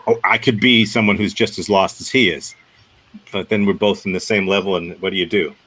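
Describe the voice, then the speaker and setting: A man's voice: low voice, fairly even intonation, brisk, fairly bright tone. A man leading an improv workshop, giving rambling, upbeat feedback on a scene and setting up the next exercise. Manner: rambling, self-correcting, upbeat. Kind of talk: casual workshop feedback and instruction.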